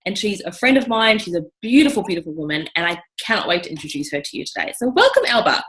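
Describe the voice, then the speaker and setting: A woman hosts a casual video interview, enthusiastic and chatty, peppering her talk with "you know". Her voice sits at an ordinary middle pitch. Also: sing-song, fast, fairly bright tone, low-quality recording. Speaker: interview host.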